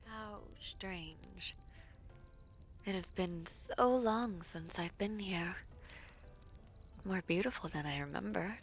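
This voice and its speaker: A woman playing a poised, teasing, affectionate villainess princess in a fantasy romance audio roleplay, with formal courtly phrasing and a breathy, often near-whispered delivery. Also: fairly low pitch, slow pace, long pauses, faint music behind. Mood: quiet, wistful.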